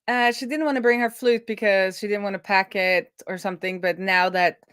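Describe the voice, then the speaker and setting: A woman's voice: medium pitch, fairly even intonation, fairly quick, fairly bright tone, clean tone, no room sound. Casual woman livestreamer, close dry sound. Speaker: livestream host.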